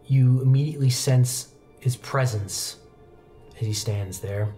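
A young man narrating a tabletop roleplaying game as game master, telling the story in a measured way with pauses. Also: medium pitch, mellow. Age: young adult. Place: indoor studio set, seated at a desk. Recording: background music under the voice.

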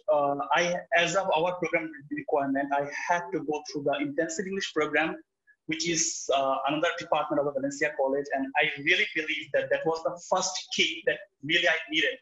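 Young man, Bangladeshi accent, earnest, halting, restarts, bright voice, roomy echo, dull video-call audio. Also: fairly high pitch, steady tone. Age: young adult. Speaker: former student speaker. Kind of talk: webinar talk. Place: small room indoors. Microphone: laptop webcam on a video call.

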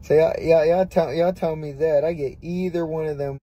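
A man's voice: fairly high pitch, fairly even intonation, normal speed, mellow. A young man from the United States, chatting brashly on a phone livestream from a dim room at night, repeating himself and swearing freely. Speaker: boxer on a social media livestream. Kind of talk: casual livestream chat. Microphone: phone held close.

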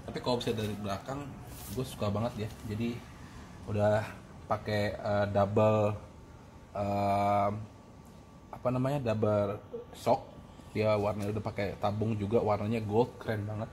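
A man's voice: fairly low pitch, little pitch movement, normal speed, darkish tone. A man, chatting casually about his motorbike with lots of fillers, filmed on a camera held at arm's length on a covered porch outdoors. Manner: slangy, chatty, many fillers and restarts. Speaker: motorcycle vlogger. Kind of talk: casual review chat. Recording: noisy outdoor ambience.

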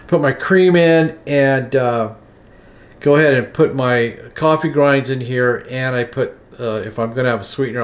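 A man in his sixties making coffee in his kitchen while chatting in a relaxed, rambling way with plenty of fillers. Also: mid-range voice. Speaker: vlogger. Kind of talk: casual morning vlog with demonstration. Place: home kitchen.

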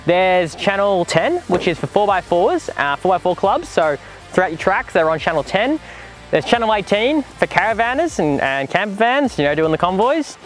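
A man's voice: high-pitched, very animated, moderate pace, soft background music. A man in his twenties with an Australian accent, casually explaining to camera as a vlog host outdoors. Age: 20s. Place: outdoors in dry bush clearing beside a 4WD.

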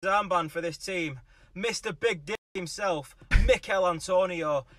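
A man of about thirty delivers a comic monologue into a phone at arm's length. His voice is high for a man, and his manner is crude and declamatory. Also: unhurried, fairly bright tone, dry recording.

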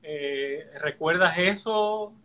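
A man's voice: a little high, drawn-out words, pushed and bright, muffled recording. A middle-aged man co-hosting a beauty and style interview show, asking a guest questions in a friendly way over a video call. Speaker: co-host. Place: indoor studio before a leafy backdrop.

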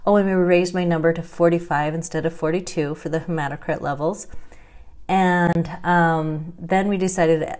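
A woman in her thirties vlogging at home into a close webcam. Her voice sits a little low and her delivery is chatty and wry, with frequent laughs and dry asides. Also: steady tone, normal speed, a little mellow. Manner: chatty, wry and self-deprecating, laughs often. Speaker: vlogger sharing her health journey. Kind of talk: casual talking-head vlog. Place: indoors at home, small room.

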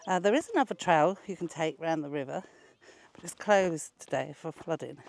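An older woman talks into a wearable camera while walking a hot bush trail, out of breath and chatty. She teases her companion and grumbles about the heat and the flies. Outdoor noise is clearly audible behind her voice. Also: low-pitched, normal speed. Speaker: travel vlogger. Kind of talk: casual vlog narration while hiking. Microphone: wearable action camera.

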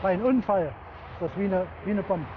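A man, a police officer, making brisk, terse remarks on duty. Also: sits high. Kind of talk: casual talk on duty. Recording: dull recording with traffic noise.

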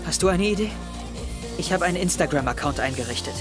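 A man's voice: high voice, expressive, fast, over music. A young man playing an anxious teenage schoolboy detective in an audio drama, intense and confiding. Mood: desperate. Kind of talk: German audio drama acting, close narration.

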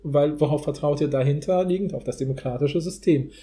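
A man's voice: on the high side, a little fast, mellow. A man co-hosting a podcast, talking casually and analytically in a discussion. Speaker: podcast co-host.

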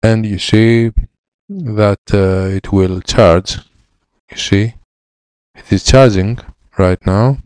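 A man in his thirties with a Greek accent, a repair technician explaining a laptop fix in halting English with many fillers, on a headset mic in his lab. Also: lowish voice, fairly even intonation, a little mellow, clear, resonant tone, no echo. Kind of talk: hands-on repair tutorial. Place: electronics repair lab, indoors.

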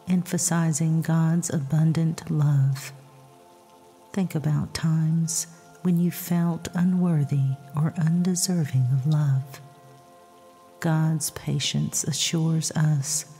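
A woman softly narrating a scripted guided sleep meditation with scripture readings, in a hushed, breathy, soothing and measured voice. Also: low voice. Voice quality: soft, breathy, husky. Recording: long reverb, soft music behind.

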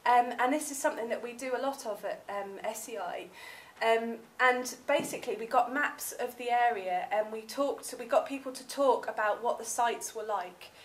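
A woman's voice: on the high side, moderate pace, low-quality recording. Chatty southern English woman lecturing. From southern England. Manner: chatty, many ums, gestures while speaking. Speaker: academic researcher presenting. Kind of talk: conference talk with slides. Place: seminar room with projector screen. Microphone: far camera, room audio.

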